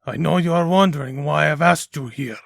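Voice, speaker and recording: A man playing a soft-spoken, menacing crime boss in a comic dub, with measured, falsely regretful delivery. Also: fairly high pitch, vocal fry, no room sound, studio quality. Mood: grave, regretful.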